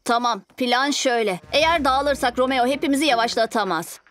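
High-voiced brave boy superhero leader. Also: fairly quick, a bit bright. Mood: resolute. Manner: urgent, commanding. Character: bossy, brave young boy superhero leader in cat costume. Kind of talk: animated cartoon voice acting. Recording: music behind the voice.

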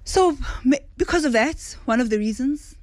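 Woman, forties, animated radio guest, studio mic, dry close sound. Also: sits high, moderate pace, no room sound. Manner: animated, mixes Setswana into English, acts out others' words. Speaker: restaurant owner, guest. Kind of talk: casual radio interview. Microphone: studio boom mic.

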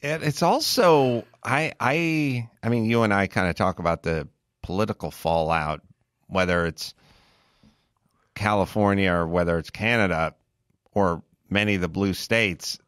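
A middle-aged man hosting a podcast in a studio, talking into a boom mic and spinning long, comically exasperated hypotheticals. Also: a little slow, a bit bright, dry recording. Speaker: host. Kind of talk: casual podcast talk. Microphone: studio boom mic with headphones.

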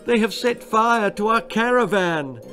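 A high, wailing storybook traveller begging desperately , as put on by a male reader. The pleading is urgent and beseeching, with music behind it. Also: expressive, unhurried, sharp tone, exaggerated voice.

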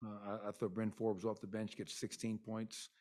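A man's voice: on the low side, little pitch movement, normal speed, darkish tone. A middle-aged man, a basketball coach, talking candidly at a postgame press conference into a desk mic, rambling with many fillers. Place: arena press room. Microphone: desk mic in front.

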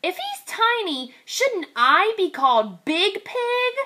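A woman voicing a small, eager young boy piglet from a children's storybook, squeaky and exclaiming. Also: very animated, takes it slowly, pushed and bright, exaggerated voice. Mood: indignant.

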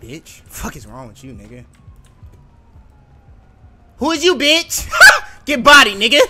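A man shouting urgent callouts during an online role-play game on a noisy stream. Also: very high voice, huge pitch swings, a little slow.

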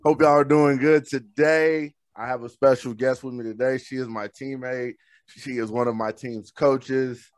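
A man's voice: medium pitch, fairly even intonation, normal speed, no echo. A man from Oklahoma hosting a podcast interview, talking in a laid-back, slangy, upbeat way into a studio microphone. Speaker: podcast host. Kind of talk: casual interview chat. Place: home studio room with shelves. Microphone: studio microphone on a boom arm.

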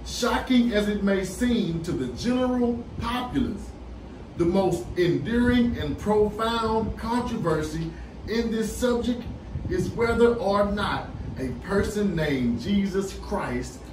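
A man's voice: high voice, lively intonation, slow, distant mic. A middle-aged American man co-hosting a podcast, reading aloud and commenting in an emphatic, urging way. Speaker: podcast co-host. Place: small podcast studio, indoors. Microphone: boom-arm studio microphone with headphones. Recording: echoey room, off-mic, faint noise.

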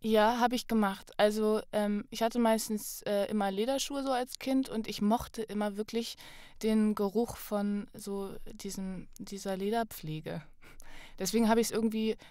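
A woman's voice: medium pitch, steady tone, normal speed, darkish tone, no echo, clean studio sound. A woman chatting casually as a guest on a podcast. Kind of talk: casual chat.

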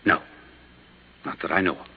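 An actor playing a belligerent, defensive doctor in a 1950s radio drama, curt, indignant and husky. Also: male voice, normal speed, natural voice. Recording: dull, lo-fi old radio recording.

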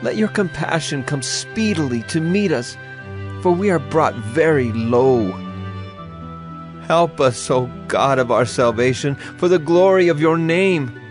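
A man's voice: a little high, animated, measured pace. A man giving a solemn, declamatory dramatized reading of scripture as an audio Bible narrator. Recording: music under the voice. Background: music.

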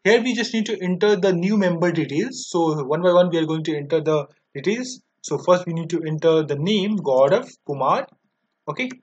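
A young man with an Indian accent walks through a software demo one step at a time. His voice is quite high for a man, and his delivery is halting and repetitive. The recording sounds dull and lo-fi. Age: 20s. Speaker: software tutorial presenter. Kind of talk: step-by-step screen demo narration.

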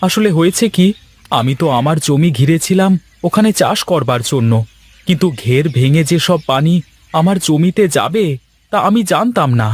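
A man's voice: a little high, expressive, moderate pace. A man voicing a humble, crafty village husband and farmer in a cartoon, with a pleading, deferential manner. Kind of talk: cartoon dialogue acting.

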